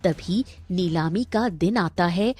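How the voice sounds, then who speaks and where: A woman's voice: lowish voice, slow, a little mellow, husky, soft background music. A woman reading scripted narration for a children's story.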